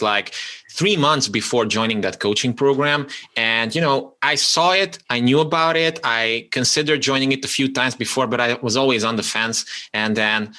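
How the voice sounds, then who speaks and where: A man from Romania whose first language is Hungarian, a podcast guest talking casually and haltingly about his work as a copywriter. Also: medium pitch, bright, forceful tone. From Romania (Transylvania). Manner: halting, many restarts and fillers. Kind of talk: casual interview talk.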